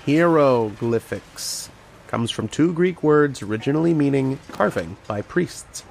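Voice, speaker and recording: A man's voice: medium pitch, animated, unhurried, vocal fry, darkish tone, a little hiss or hum. A man playing a learned, patient archaeologist who explains and narrates in an old-time radio horror drama style. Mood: patient, explanatory. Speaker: lead actor and narrator.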